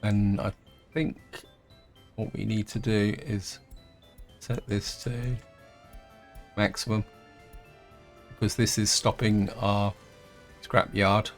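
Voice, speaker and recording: A man, croaky from a throat infection, casually thinking aloud as he plays through a strategy game, with lots of fillers and restarts. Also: lowish voice, level tone, slow, pauses often, soft background music. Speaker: gameplay host. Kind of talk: casual let's-play commentary.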